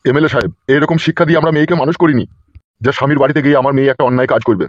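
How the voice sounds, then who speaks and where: A man's voice: mid-range voice, rapid-fire, dry recording, close to an everyday voice. A man voicing a worried middle-aged village father in a comedy cartoon, deferential but protesting, in a lo-fi recording.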